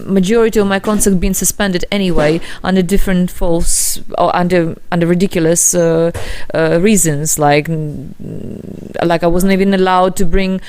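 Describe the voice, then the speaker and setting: Smooth, dry-voiced woman in her forties. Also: fairly low pitch, steady tone, a little mellow, dry recording. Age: 40s. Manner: halting, searching for words. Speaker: guest. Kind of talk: interview answers.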